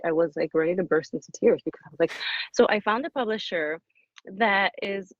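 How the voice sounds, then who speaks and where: A woman speaking as an author guest in a casual interview, effusive and often halting and restarting her sentences. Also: fairly low pitch, dry recording. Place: small cabin in the woods. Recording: dull lo-fi audio.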